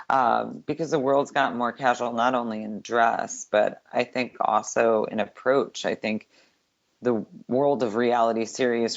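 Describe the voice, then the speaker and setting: Deep-voiced chatty woman, gravelly. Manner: chatty, quick, with many filler words. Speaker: guest. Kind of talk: casual interview chat. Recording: dull lo-fi sound.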